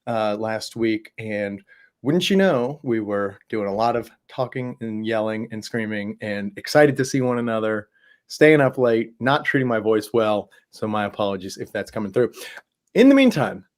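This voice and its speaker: A man hosting a casual livestream Q&A, a bit hoarse, talking in a chatty, rambling way with many fillers. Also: fairly low pitch, expressive, normal speed. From Southwest Ohio. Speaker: host.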